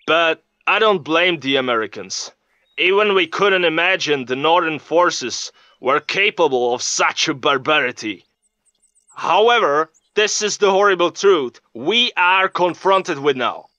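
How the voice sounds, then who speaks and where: A man playing a grave army captain who speaks solemnly and deliberately in a game's news interview. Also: fairly high pitch, lively intonation, sharp tone, no room sound, dull audio. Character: grave, indignant male army captain of a fictional kingdom. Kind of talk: field interview in a game news cutscene.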